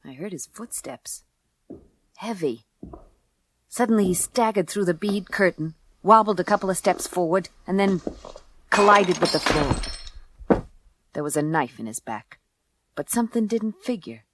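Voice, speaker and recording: Low-voiced, hardboiled female space detective. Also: animated, measured pace, long pauses, noisy background. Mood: cool, wry. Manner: dry, hardboiled, unimpressed. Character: tough, sardonic female private eye in space. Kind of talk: first-person noir radio drama narration and dialogue. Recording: music under the voice. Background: music.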